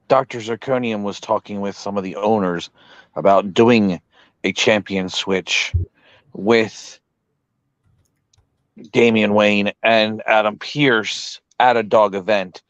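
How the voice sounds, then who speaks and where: Low hoarse man on a dull lo-fi mic. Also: no echo. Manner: hedging, repeatedly unsure of his memory. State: losing his voice. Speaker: podcast co-host. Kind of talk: casual podcast chat.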